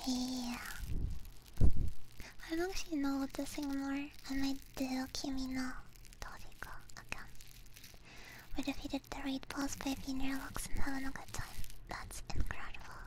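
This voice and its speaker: A woman livestream host chatting in a soft, breathy ASMR whisper, gentle and playful, close to a binaural mic. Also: sits high, poor audio. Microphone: close binaural ASMR microphone.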